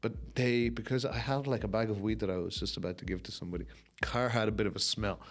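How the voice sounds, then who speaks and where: Young man, lowish pitch, softened Irish accent with American tinge, casual storytelling, slangy and sweary, podcast guest. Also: fairly even intonation, fast talker, slight background noise. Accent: softened Irish, somewhat Americanized. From Ireland. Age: young adult.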